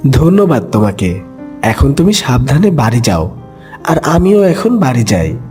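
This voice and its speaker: A man's voice: mid-range voice, sing-song, unhurried, a little mellow, faint music behind. A man voicing a kind, brave and clever young duckling in a cartoon animal fable, earnest and coaxing.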